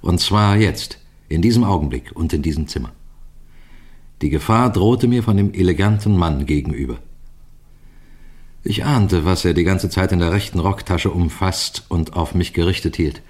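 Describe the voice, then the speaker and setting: A man's voice: sits low, normal speed, darkish tone, natural voice. A man playing a wily, composed police detective in an old-fashioned radio crime play, courteous and teasing in a theatrical way. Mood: tense, measured. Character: wily, composed male police detective telling his own case. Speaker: narrator and lead actor.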